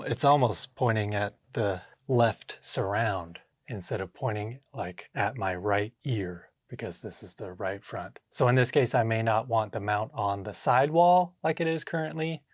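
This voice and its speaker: A man's voice: lowish voice, dry recording. Casual DIY guy thinking aloud, dry sound. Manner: self-talking, punctuated with short exclamations. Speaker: home theater DIY vlogger. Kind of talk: casual hands-on vlog narration, thinking aloud. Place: indoor home theater room with drop ceiling.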